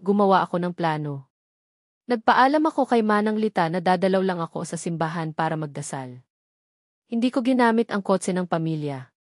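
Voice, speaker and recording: A woman's voice: medium pitch, dry recording. Filipino woman narrating drama, dry studio sound. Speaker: story narrator. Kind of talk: dramatic first-person scripted narration in Tagalog fiction.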